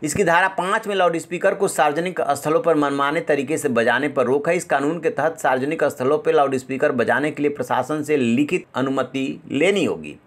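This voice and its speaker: A man's voice: on the high side, fairly quick, hardly any pauses, a bit bright. A man presenting news as an anchor, explaining points in an emphatic way.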